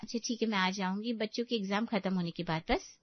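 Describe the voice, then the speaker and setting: A woman voicing a busy, reluctant married sister who excuses herself briskly in a family story. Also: medium pitch, no echo, lo-fi sound, natural voice. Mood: relenting. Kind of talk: Hindi family story acting.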